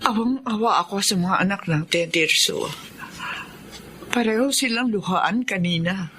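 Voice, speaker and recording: A woman's voice: mid-range voice, a little slow, a bit bright, faint music behind, natural voice. An actress playing a kindly, anxious middle-aged mother in a Filipino radio drama, with a Filipino accent. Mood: worried.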